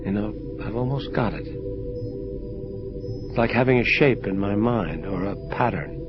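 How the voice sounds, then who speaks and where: Casting: male actor, streetwise angel detective, low pitch, blunt hardboiled delivery, muffled drama track with strong music. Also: moderate pace, lightly acted. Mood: probing. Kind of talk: hardboiled audio drama dialogue.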